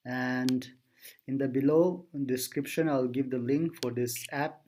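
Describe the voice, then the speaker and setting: Slow-paced man walking through a tutorial. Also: mid-range voice, fairly even intonation, a little mellow. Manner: thinks aloud step by step. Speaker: app tutorial presenter. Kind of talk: informal screen-recorded software tutorial.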